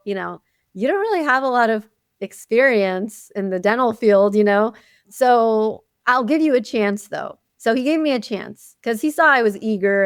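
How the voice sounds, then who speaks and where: A woman who grew up speaking Arabic talks through her career in a podcast interview, warm in her storytelling and full of little asides. Her voice is smooth and clear at a middle pitch. It sits dry and close to the mic, with no room around it. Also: no room sound. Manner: animated storytelling with frequent "you know" and laughs. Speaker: guest, chief dental officer. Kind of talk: conversational interview.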